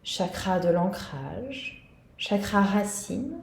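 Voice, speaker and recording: Lady doing guided meditation, gentle and soothing, takes it slow, bit of room echo. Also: mid-range voice, vocal fry, slight background noise. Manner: calm, soothing, gentle delivery. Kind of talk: guided yoga nidra meditation.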